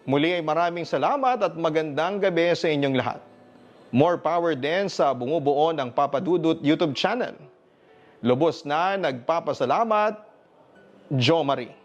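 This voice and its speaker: A man's voice: sits high, highs cut off. A man with a Filipino accent, a radio announcer reading a listener's letter as a dramatic scripted story. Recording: music bed under the voice. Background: instrumental music.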